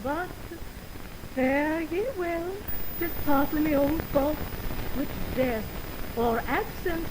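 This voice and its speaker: Noisy 1929 radio recording, indignant lovelorn young woman, high-pitched, declamatory Shakespearean verse. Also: takes it slowly, pauses often. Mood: wounded, reproachful. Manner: theatrical, declamatory verse delivery. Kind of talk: 1920s radio Shakespeare acting. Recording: noisy 1929 radio broadcast on optical film.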